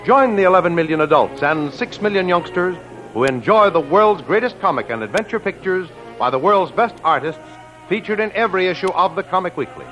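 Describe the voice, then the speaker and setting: A man's voice: high-pitched, lively intonation, fairly quick, fairly bright tone, dull audio. A man announcing and narrating in a formal, declamatory 1930s radio style, reading from a script. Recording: old radio recording with music behind.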